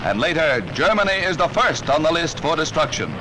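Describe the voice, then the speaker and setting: A man's voice: fairly high pitch, big pitch swings, a little fast, slight background noise, rough recording. An American man reading a scripted 1940s newsreel narration in an urgent, dramatic, declamatory manner on a vintage soundtrack. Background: loud music.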